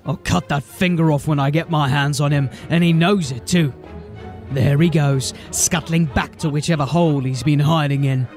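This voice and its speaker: Male actor as grumbling fantasy watchman, fairly high voice, blunt, wry, dramatic dialogue over music. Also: fairly even intonation, normal speed, darkish tone, close to an everyday voice. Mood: scornful. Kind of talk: fantasy audio drama acting.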